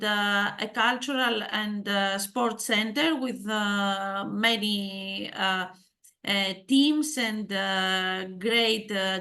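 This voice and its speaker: Middle-aged woman, Greek accent, slow and halting conference presenter, bright tone. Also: mid-range voice. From Greece. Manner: hesitant, many fillers, asks the audience questions. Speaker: school head of digital education giving a talk.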